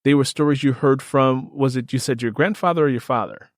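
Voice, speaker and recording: A man's voice: medium pitch, a little fast, no echo, clean studio sound. A man hosting a casual interview, enthusiastic and emphatic, often repeating his phrases. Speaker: podcast host interviewing an author.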